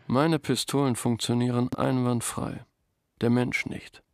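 An actor playing a detached, cynical arms company owner in a radio play, speaking in a measured, deliberate way. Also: male voice, mid-range voice, little pitch movement, no echo, studio quality, close to an everyday voice. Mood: cold, matter-of-fact.

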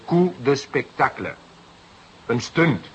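An actor playing an eager junior detective who lays out theories emphatically and a little grandly in a detective radio drama. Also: male voice, mid-range voice, sing-song, takes it slowly, a bit bright, highs cut off. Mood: confident, theorising.

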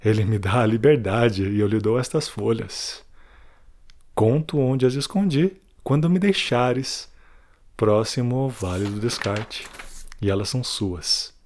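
A man playing a weary, guilt-ridden prisoner who confides a farewell testimony in a solemn, deliberate audio drama monologue. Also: medium pitch, darkish tone, close to an everyday voice. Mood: earnest, pleading.

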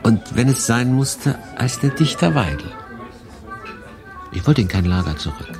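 An actor playing a wry, guarded refugee telling his story in a radio drama, in measured, laconic phrases. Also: medium pitch, sing-song, music in the background. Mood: reflective, wry. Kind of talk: radio drama narration and dialogue.

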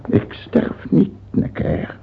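An actor playing a dying, cruel king in his sixties in a radio play, speaking haltingly in gasps with sudden outbursts. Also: a little hiss or hum, close to an everyday voice. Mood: defiant, peevish. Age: 60s. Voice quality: husky, creaky, gasping. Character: dying sixty-year-old tyrant king, bitter, cruel, raving. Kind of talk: theatrical radio drama acting. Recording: old lo-fi radio recording, phone-like.

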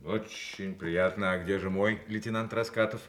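A man acting the part of a gruff, easily indignant general and family father in a Soviet radio play. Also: low-pitched, fairly even intonation, moderate pace, lightly acted. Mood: weighty.

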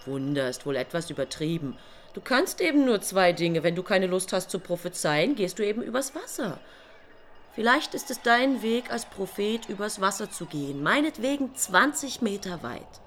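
A cool, needling angel, noisy backdrop. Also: female voice, mid-range voice, sing-song, normal speed, fairly bright tone. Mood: cool persuasion. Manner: dry, matter-of-fact, teasing persuasion. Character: cool, nagging female angel, practical and needling. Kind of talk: radio play dialogue.

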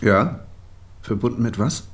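An actor playing an unruffled parish priest in a radio play, speaking in a dry, measured, wryly formal way. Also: male voice, mid-range voice, animated, natural voice. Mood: wryly guarded.

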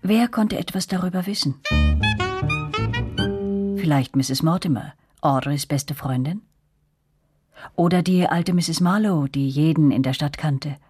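A woman playing a young narrator in a radio play, recalling events in a measured, reflective way. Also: sits low, warm tone, faint music behind. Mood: pondering.

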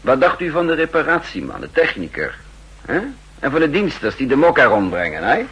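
An actor playing a shrewd, self-assured chief of counter-espionage in a 1960s radio drama, pedantic, dryly ironic and in command. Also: male voice, mid-range voice, very animated, a little fast, a bit bright, breathy edge, heavily acted. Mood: firm, brisk. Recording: old 1960s radio recording.

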